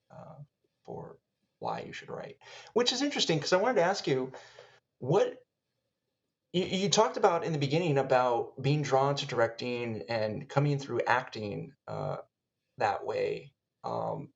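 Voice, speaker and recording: A man hosting a conversational podcast, speaking in a wordy, halting, reflective way. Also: mid-range voice, vocal fry, highs cut off. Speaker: podcast host.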